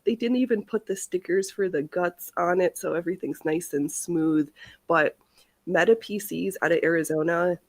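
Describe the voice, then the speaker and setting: A woman's voice: fairly low pitch, normal speed, dry recording. A woman hosting a casual crafting livestream, chatting in a rambling, easily sidetracked way. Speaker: livestream host of a sewing and crafting show.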